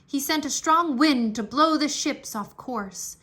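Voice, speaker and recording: A woman narrating a children's history book aloud in an expressive storybook style, enunciating clearly and stressing dramatic words. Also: high-pitched, a little slow.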